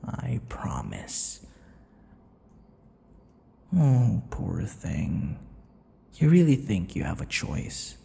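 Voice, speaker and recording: A man playing a possessive, teasing vampire lover in an ASMR audio roleplay, smug and menacing, murmuring and slipping into breathy whispers. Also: sing-song, dark tone, in a large hall, exaggerated voice. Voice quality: low, gravelly. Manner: slow, breathy murmurs dropping into whispers, mocking endearments.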